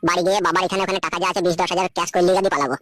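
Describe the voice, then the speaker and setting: A woman's voice: lowish voice, steady tone, racing, natural voice. A woman playing a surly, scheming young village man who grumbles crudely in an amateur cartoon comedy. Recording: loud background noise.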